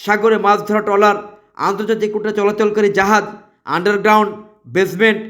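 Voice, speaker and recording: Bangladeshi guy doing an ad voice-over, pretty high voice, emphatic salesy pitch, repeats himself a lot. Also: completely flat delivery, brisk, a bit bright. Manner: emphatic, repetitive, listing features. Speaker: product advertiser.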